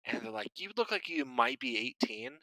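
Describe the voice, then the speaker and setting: A man's voice: sits high, very animated, normal speed, gravelly, bright, forceful tone, dry recording, poor audio. A young adult man co-hosting a podcast, chatting casually and laughing often. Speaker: podcast co-host. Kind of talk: casual chat.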